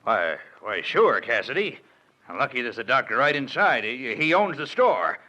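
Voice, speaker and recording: An actor playing a shifty, evasive outlaw villain who stammers and hedges in a 1940s radio drama. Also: male voice, mid-range voice, big pitch swings, normal speed, bright, forceful tone, dull audio. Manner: stammering, wheedling, full of uh and why-why. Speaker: villain Rucker.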